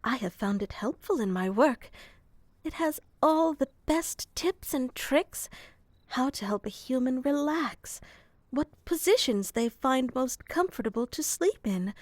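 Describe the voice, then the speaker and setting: A gentle, slightly scatterbrained moth girl, acted by a woman murmurs in a raised, light voice at an unhurried, drowsy pace. She talks softly and slips into whispers now and then. The close microphone keeps the sound dry. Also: high voice, animated, no room sound. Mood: soft, musing. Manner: soft-spoken, slow and musing, slipping into whispers. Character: gentle, slightly scatterbrained female moth creature soothing a human to sleep. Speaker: ASMR performer. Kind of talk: ASMR sleep roleplay.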